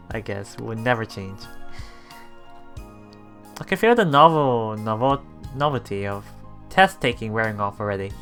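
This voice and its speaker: A man doing a let's play, reading story narration aloud with casual commentary, halting and chuckling as he goes, with game music behind him. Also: lowish voice, expressive, a bit bright. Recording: voice over game background music.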